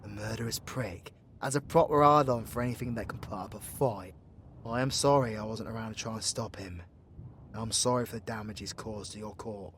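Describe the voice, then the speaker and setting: A man's voice: lowish voice, fairly quick, a bit bright, slight background noise, lightly acted. A British man playing a cocky, foul-mouthed, joking young warlock in an audio roleplay, with a cheeky, slangy delivery. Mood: serious, insistent.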